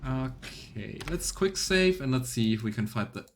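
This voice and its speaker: A man's voice: mid-range voice, lively intonation, measured pace, noisy background. A man giving casual let's play commentary as he streams a video game. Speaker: streamer playing a video game and voicing its text.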